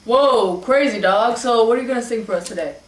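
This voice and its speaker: A woman putting on a slangy, excitable male talent-show judge. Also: fairly high pitch, a little fast, roomy sound, recorded from a distance, over music, pitched above the performer's own voice, lightly acted. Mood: hyped. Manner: hip slang, excitable cheering.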